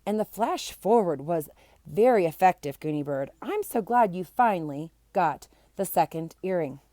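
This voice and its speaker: A woman's voice: lowish voice, expressive, normal speed, warm tone, dry recording, lightly acted. Woman reading as a mild, thoughtful schoolteacher. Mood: approving. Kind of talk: children's storybook character reading.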